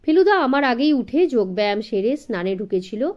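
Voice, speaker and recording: Animated, higher-voiced woman storyteller. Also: fairly quick. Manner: dramatic storytelling for young listeners. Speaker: audiobook narrator. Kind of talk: expressive scripted story narration.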